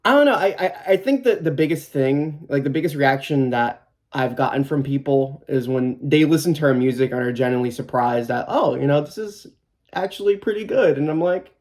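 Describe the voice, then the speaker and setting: A man's voice: on the high side, animated, brisk, darkish tone. A man in his twenties, a band guitarist, answering interview questions in a casual, hesitant way with many fillers and restarts. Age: 20s. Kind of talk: documentary interview.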